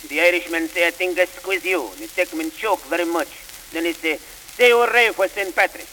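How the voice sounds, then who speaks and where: An actor playing an excitable Italian immigrant fruit seller who complains earnestly to the judge in broken English. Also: male voice, sits high, brisk. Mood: complaining. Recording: hissy, echoey old record.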